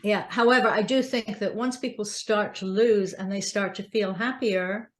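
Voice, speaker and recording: A woman's voice: mid-range voice, steady tone, normal speed. A woman co-hosting a podcast, asking questions in an encouraging way and laughing often. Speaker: podcast co-host. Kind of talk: podcast interview.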